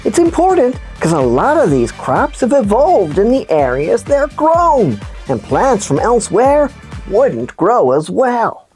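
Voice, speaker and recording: A woman voicing an excitable, dramatic puppet presenter on a children's news show, with playful, exaggerated delivery. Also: a little high, measured pace, soft background music. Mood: upbeat, informative. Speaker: host.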